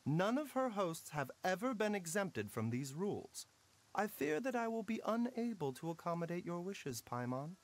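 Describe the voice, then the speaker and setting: Courteous pharmacist, formal and measured, expressive rises and falls. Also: male voice, sits high, exaggerated voice. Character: courteous, smooth-spoken male pharmacist doctor.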